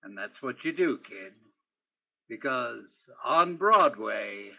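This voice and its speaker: A man's voice: mid-range voice, animated, slow, highs cut off. An actor playing a world-weary, poetic police detective, narrating his beat in brooding, clipped phrases in 1950s radio noir style. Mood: wry, bleak.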